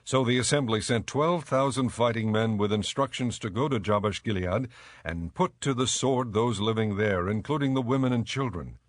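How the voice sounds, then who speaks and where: A man's voice: lowish voice, moderate pace, no echo. A man reading scripted dramatic Bible narration as the narrator.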